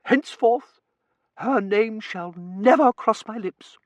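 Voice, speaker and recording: A man's voice: slow, dry recording, highs cut off. A man voicing an imperious, melodramatic older Victorian matron who wails and commands. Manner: shrill, wailing, commanding.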